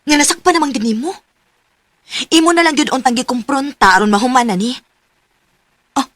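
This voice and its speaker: A woman's voice: sits high, a little fast, a bit bright, dry recording. A woman with a Cebuano accent voicing a loyal young best friend in a radio drama, blunt and urging. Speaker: supporting part in a radio drama.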